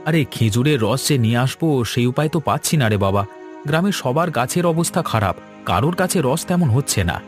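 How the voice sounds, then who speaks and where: A man's voice: medium pitch, lively intonation, fast, soft background music, close to an everyday voice. A man voicing a good-natured village father and husband in a cartoon, with a colloquial, placating manner. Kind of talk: Bengali cartoon story dubbing.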